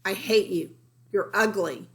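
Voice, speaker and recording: A woman's voice: mid-range voice, a little slow, a bit bright. A woman voicing a bratty, jealous little girl in a storybook, haughty and emphatic. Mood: spiteful. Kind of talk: storybook read-aloud.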